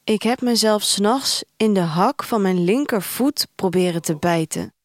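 A woman's voice: mid-range voice, animated, a little slow, darkish tone, no echo, studio quality, natural voice. An actress playing a troubled woman who tells a bleak future tale in an introspective, dramatic monologue for an audio drama. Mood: anguished, intense. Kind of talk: literary first-person audio drama monologue.

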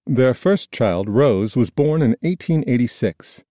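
A man reading scripted nonfiction narration in a measured, formal storytelling manner. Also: medium pitch, expressive, mellow, no room sound, studio quality. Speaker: audiobook narrator.

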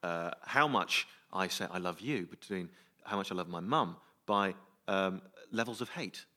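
A man's voice: sits low, animated, measured pace, sharp tone. A man hosting a comic interview on a theatre stage, speaking hesitantly and with mock deference. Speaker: comedy show host. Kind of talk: comic interview before an audience. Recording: live theatre, faint noise.

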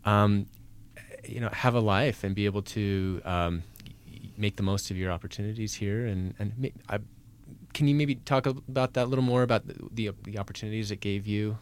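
Man, American accent, fairly low pitch, podcast host, narrator and interviewer. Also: fairly quick, a little hiss or hum. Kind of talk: scripted narration and interview questions.

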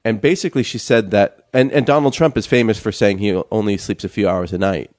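A young man co-hosting a casual chat show, talking haltingly with many fillers and restarts. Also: fairly low pitch, a little mellow, no room sound, studio quality. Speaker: podcast co-host.

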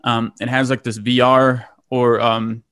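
A man's voice: mid-range voice, level tone, a little slow, a bit bright, no room sound, studio quality. A man in his late twenties from Lithuania, telling his story in a casual conversation, rambling with many fillers and restarts. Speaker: guest.

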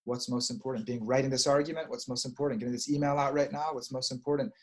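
Casting: husky man, soft-spoken spiritual guide, reflective and repetitive. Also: medium pitch, steady tone, fast. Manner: gentle, reflective, repeats phrases. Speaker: prayer leader. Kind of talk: spiritual chat and prayer.

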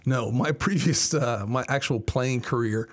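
A man in his forties from Miami speaks at a medium pitch. He is a college athletics director answering interview questions in a conversational, storytelling style. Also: a little slow. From Miami, Florida, United States. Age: 40s.

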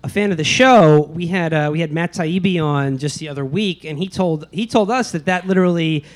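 A man's voice: on the high side, fairly quick. A man, a podcast host, speaking as a guest on a live panel, laying out a point earnestly and thoughtfully. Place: live show before an audience.

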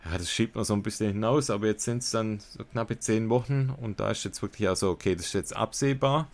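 Man, fairly low pitch, casual podcast talk, enthusiastic, slangy. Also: brisk. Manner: enthusiastic, colloquial with English slang. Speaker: podcast co-host. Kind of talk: casual podcast chat and product review.

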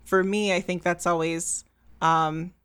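Lady podcast host, kinda low voice, easygoing questions. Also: little pitch movement, slow, fairly bright tone, clean tone. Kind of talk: casual podcast hosting.

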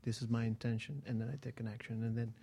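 A guest on a radio talk show, explaining slowly and step by step, repeating phrases. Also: mid-range voice, level tone, vocal fry, dark tone, slight background noise.

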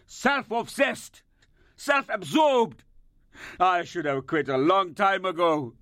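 Outraged husband, sharp raised voice. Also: male voice, high voice, takes it slowly, no echo, studio quality. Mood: furious, accusing. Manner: accusing, emphatic, heated. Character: outraged, embittered middle-aged husband and father. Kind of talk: audio drama acting.

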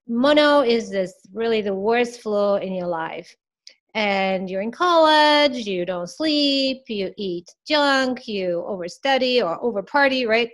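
A woman with a fairly high, slightly scratchy voice speaks as a podcast guest. She explains patiently, with some hesitations, and clears her throat now and then. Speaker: guest expert. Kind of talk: podcast interview.

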